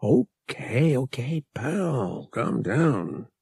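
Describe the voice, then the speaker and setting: A man's voice: medium pitch, big pitch swings, very slow, gravelly, a little mellow, husky, dry recording, studio quality, exaggerated voice. A man voicing a casual, practical young smuggler pilot in an audiobook, slangy and wry, dropping word endings. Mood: calming, brisk. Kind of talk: audiobook character voice.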